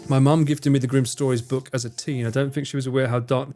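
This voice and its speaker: A man's voice: medium pitch, flat delivery, quick pace, warm tone, faint music behind. A young man streaming live, chatting with his viewers and swearing and joking as he plays a game. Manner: sweary, jokey, talks to chat and laughs often. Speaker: livestreamer. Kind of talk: live stream chat while gaming.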